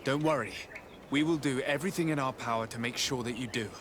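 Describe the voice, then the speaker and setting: A man voicing a polite, resolute noble envoy in a video game, speaking in a formal, measured way. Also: mid-range voice, background noise, natural voice. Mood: calm, determined. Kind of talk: video game cutscene acting.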